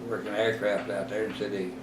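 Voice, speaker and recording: Older man, eighties, low voice, monotone, rambling with lots of uhs, far-off mic, echoey room with steady noise. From Texas. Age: 80s. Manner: rambling, halting with many fillers and self-corrections. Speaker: oral history interviewee. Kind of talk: reminiscing in a recorded interview. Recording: roomy, distant mic with clear background noise.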